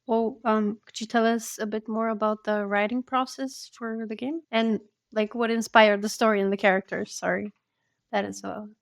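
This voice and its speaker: A woman's voice: mid-range voice, fairly even intonation, moderate pace, creaky, dry recording, clean studio sound. A woman hosting a podcast, interviewing in a conversational, informal and friendly way. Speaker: podcast host.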